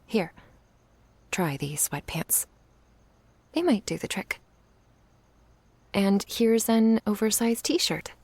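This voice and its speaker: A woman playing an affectionate young witch in an intimate ASMR roleplay, speaking softly, tenderly and playfully, often in a whisper. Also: mid-range voice, sing-song, slow pace, pauses often, dry recording, heavily acted. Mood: playful, doting. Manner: soft, breathy, tender murmuring with little hums.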